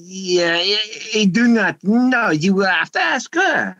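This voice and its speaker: A man's voice: sits high, very animated, slow, sharp tone, no echo, dull audio, heavily acted. A man playing a nervous, evasive French nightclub owner in an amateur radio mystery, stammering, repeating himself and getting defensive.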